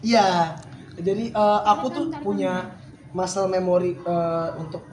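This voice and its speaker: A young male actor answering press questions in a relaxed way, hesitating with many fillers. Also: high-pitched, measured pace. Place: busy cinema lobby. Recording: echoey, distant, crowd noise. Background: crowd chatter.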